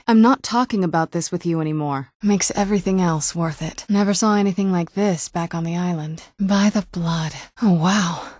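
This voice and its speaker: A woman's voice: fairly low pitch, darkish tone, dry recording, lightly acted. An actress playing a young female vampire companion in a video game, with a dry, guarded, sardonic manner. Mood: calm, friendly. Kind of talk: video game character voice acting.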